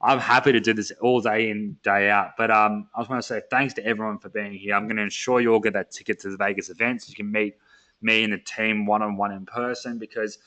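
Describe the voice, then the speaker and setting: This is a young man wrapping up a sales webinar. His voice sits on the low side, and he talks casually with lots of fillers. His energy is upbeat and full of hype. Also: fairly even intonation, quick pace, bright, forceful tone, low-quality recording. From Australia. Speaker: webinar host.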